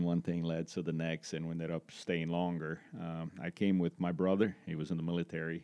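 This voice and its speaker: Deep-voiced Brazilian man in an interview. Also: warm tone, no echo, highs cut off. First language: Portuguese. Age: 40s. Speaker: interview guest, corporate safety executive. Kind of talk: conversational interview answers.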